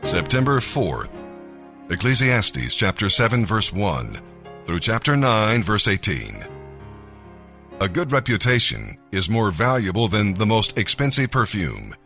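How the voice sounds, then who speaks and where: A man reading scripture as scripted devotional narration, with measured, deliberate phrasing. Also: lowish voice, wildly swooping pitch, pushed and bright, faint music behind. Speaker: Bible reader, narrator.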